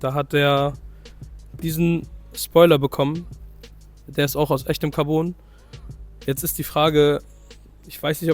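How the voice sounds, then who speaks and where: A young man with a slightly hoarse voice from a cold, chatting loosely through a walkaround of his car and correcting himself as he goes. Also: fairly high pitch, a little mellow. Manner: loose, chatty, full of fillers and self-corrections. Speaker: car vlogger showing his own car. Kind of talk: casual car walkaround vlog.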